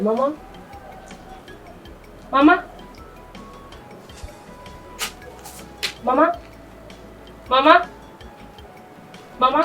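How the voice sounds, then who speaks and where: An actress with a Nigerian accent playing a devoted teenage granddaughter who speaks earnestly and affectionately in a drama skit. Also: female voice, on the low side, close to an everyday voice. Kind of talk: Nollywood-style drama skit.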